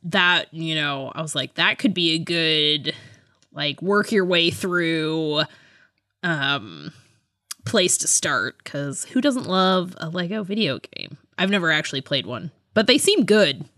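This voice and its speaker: A woman's voice: low-pitched, animated, slow, bright, forceful tone, no echo, studio quality. A woman co-hosting a podcast in casual chat, with a giggly, self-deprecating way of talking. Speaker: podcast co-host.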